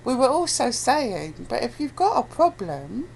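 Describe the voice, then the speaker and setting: Creaky-voiced British woman, rambling and blunt. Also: fairly high pitch, big pitch swings, unhurried, a crowd in the background, rough recording. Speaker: interviewee, abuse survivor campaigner. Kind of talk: casual conversational account.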